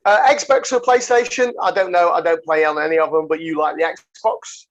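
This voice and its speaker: A man hosting a casual podcast over a video call, jokey and quick to laugh, calling his guest mate. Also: on the high side, steady tone, dull audio. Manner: jokey, laughs often, calls people mate. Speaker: podcast host.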